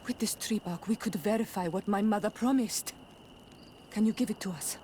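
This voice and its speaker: A woman's voice: mid-range voice, moderate pace, warm tone, slight background noise, natural voice. A woman playing a proud, grieving native village leader in a video game, solemn and resolute. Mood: earnest. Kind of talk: video game cutscene acting.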